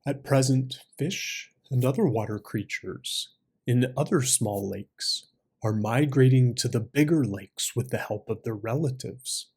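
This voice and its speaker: Man voicing a slow, scheming old crane. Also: medium pitch, a little mellow, breathy edge. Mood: persuasive. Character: old male crane, scheming, feigning sorrow and wisdom.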